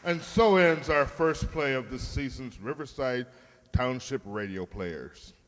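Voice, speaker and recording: A man announcing a radio play from a script in a wry, theatrical, measured manner. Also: medium pitch, fairly bright tone. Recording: hall reverb, faint noise.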